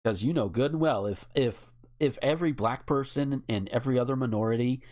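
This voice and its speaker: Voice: mid-range voice, a little fast, a little mellow. An American podcast host talking casually and bluntly, with emphatic opinions and swearing. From United States.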